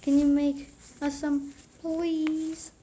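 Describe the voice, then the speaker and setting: A woman's voice: high-pitched, flat delivery, drawn-out words, dark tone, a little hiss or hum. A woman voicing an eager, forgetful little boy frog in a storybook, in an earnest, childlike way. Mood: pleading.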